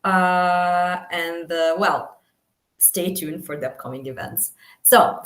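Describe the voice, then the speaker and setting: A woman's voice: on the low side, slow, fairly bright tone. Hesitant young woman in an echoey room. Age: young adult. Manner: hesitant, many fillers. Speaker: student association president. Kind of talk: event introduction.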